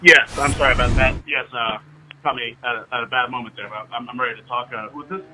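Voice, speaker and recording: A man's voice: mid-range voice, steady tone, quick pace, bright, forceful tone, slight background noise. An American man speaking as a caller on a phone, in a hesitant, wordy but insistent way. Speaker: caller, citizen activist. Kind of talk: recorded phone conversation. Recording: dull lo-fi phone call recording.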